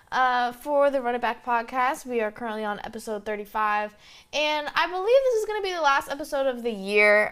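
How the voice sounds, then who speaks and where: A young woman with a slight Southern twang hosts her podcast, rambling through it with lots of fillers. Her voice is smooth and clean, and her pitch sits in the middle range. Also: fairly bright tone. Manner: chatty, rambling, many fillers. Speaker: podcast host. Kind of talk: casual solo podcast monologue.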